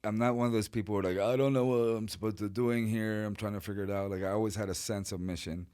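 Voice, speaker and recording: A middle-aged man from Chile, a guest artist telling his life story in a relaxed, rambling way with many fillers. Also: fairly low pitch, fast, clear, resonant tone. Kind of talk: casual interview storytelling.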